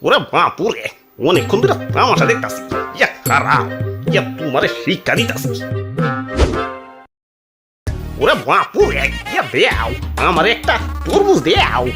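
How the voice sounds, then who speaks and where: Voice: medium pitch, huge pitch swings, moderate pace, over music. An actor voicing a sly, stubborn old grandfather in a comic cartoon, drawling in an amused way. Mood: commanding.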